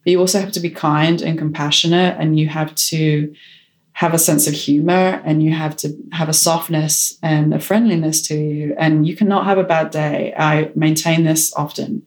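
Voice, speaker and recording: Low-voiced woman, decisive and frank. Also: fairly even intonation, moderate pace, darkish tone. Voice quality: strong voice. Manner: decisive, frank, self-assured. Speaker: director and photographer. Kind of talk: interview answers.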